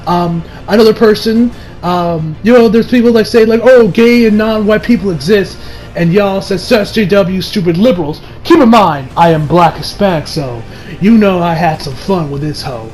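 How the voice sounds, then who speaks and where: Excitable guy rambling thru a movie review, pretty high voice, sweary, music behind him. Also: moderate pace, low-quality recording. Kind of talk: casual rambling review commentary.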